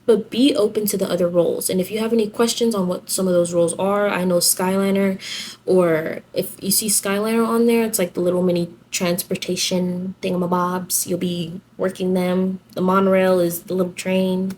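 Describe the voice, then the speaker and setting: Low-voiced young woman, chatty vlogger, background noise. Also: fairly even intonation, normal speed, darkish tone. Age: young adult. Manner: chatty, girlfriend-to-girlfriend slangy talk. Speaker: vlogger giving application tips. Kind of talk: casual advice vlog.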